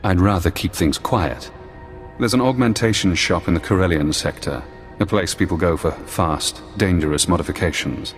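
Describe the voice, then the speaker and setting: An actor playing a brilliant, paranoid imprisoned intelligence analyst in a video game, speaking in measured, clipped commands. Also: male voice, deep voice, natural voice. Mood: calculating. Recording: long hall-like reverb, music behind. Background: game music.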